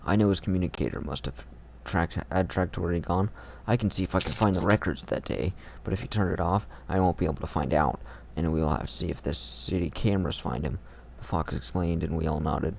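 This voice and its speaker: A man's voice: low voice, a little fast, creaky, slight background noise. A man reading fan fiction aloud in a mocking, irreverent way, tossing in crude comments of his own.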